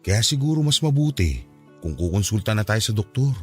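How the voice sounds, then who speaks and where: A man playing a patient, loving husband in a radio drama, speaking gently and reassuringly. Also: on the low side, very animated, a little fast. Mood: concerned. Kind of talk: Filipino radio drama acting. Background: soft background music.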